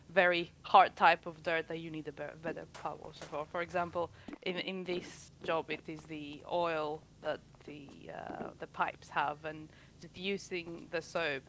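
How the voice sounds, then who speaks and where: A Greek-accented woman speaks in a fairly low voice, pausing and restarting as she thinks aloud. She talks through gameplay in a casual panel chat, and a faint hiss sits under the recording. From Greece. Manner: thoughtful, halting, with small laughs. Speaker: QA tester.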